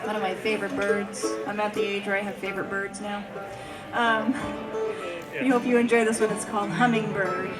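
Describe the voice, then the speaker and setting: A woman's voice: mid-range voice, flat delivery, fairly quick. A woman performer giving casual, lightly self-mocking banter from an outdoor concert stage. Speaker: bluegrass singer and bandleader. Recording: live outdoor stage PA with loud music.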